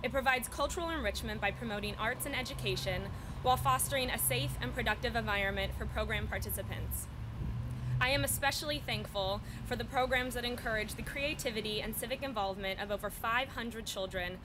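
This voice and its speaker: A young woman, a spokesperson, reading a prepared letter aloud at an outdoor podium in a measured, formal way. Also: on the high side, noisy background. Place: outdoor press event on a city plaza. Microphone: podium mics from a short distance.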